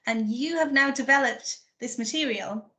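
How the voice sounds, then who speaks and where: A woman with a somewhat high voice hosts a careers event for students. She recaps the guest's story in an encouraging, summarizing way. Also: normal speed, bright, forceful tone. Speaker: event host.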